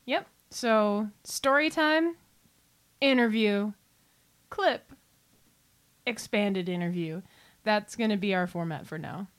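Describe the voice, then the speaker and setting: A woman's voice: medium pitch, slow pace, pauses often. A woman co-hosting a podcast in casual chat, playful, rambling and self-deprecating. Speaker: podcast co-host.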